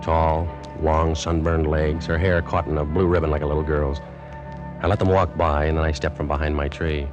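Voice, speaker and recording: An actor playing a young American soldier in love, laconic and understated, in a 1940s radio drama. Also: male voice, extremely low pitch, little pitch movement, normal speed, muffled recording, over music. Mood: wistful recounting. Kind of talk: 1940s radio drama acting with first-person narration. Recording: noisy old 1940s radio recording.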